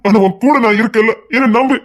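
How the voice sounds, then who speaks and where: Man acting husky, fast-talking, insistent husband. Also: very high-pitched. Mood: reassuring. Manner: insistent, repetitive, swinging from hushed coaxing to agitated scolding. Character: stubborn, controlling husband and anxious father-to-be, pleading and scolding. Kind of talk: emotional melodrama dialogue.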